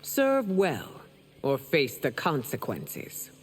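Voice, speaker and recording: A woman's voice: a bass voice, huge pitch swings, takes it slowly, slight background noise, exaggerated voice. A woman playing a proud, stern empress in a fantasy video game, speaking in a regal and formal manner. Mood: composed, regal. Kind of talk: video game dramatic acting. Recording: long hall reverb, music behind.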